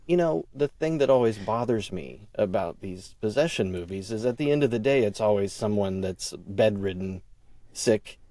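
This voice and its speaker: A man being interviewed as a podcast guest, telling his own story casually and haltingly, thinking aloud and quoting his own thoughts. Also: lowish voice, dark tone, no echo. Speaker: audio drama creator and writer.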